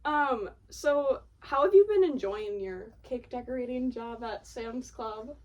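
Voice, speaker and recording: A young woman with a fairly high voice hosts a relaxed, conversational podcast interview. She is informal and agreeable, and sounds slightly away from the microphone. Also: a little slow, far from the mic, low-quality recording. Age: young adult. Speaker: podcast host interviewing a friend.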